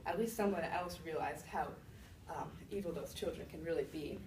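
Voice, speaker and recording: A woman playing an indignant old widow accused of being a witch, telling her side of a fairy tale in a conversational, halting monologue. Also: sits low, darkish tone, voice pushed down. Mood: offended, bitter. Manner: conversational, self-justifying, with halting restarts. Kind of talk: first-person storytelling monologue. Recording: roomy, distant mic with faint noise.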